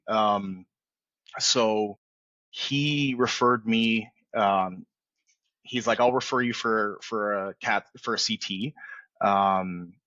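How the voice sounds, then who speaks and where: A man in his forties, a podcast guest telling his story in a relaxed, rambling way full of fillers and restarts. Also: fairly low pitch, no echo, muffled recording. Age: 40s. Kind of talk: candid storytelling in a podcast interview.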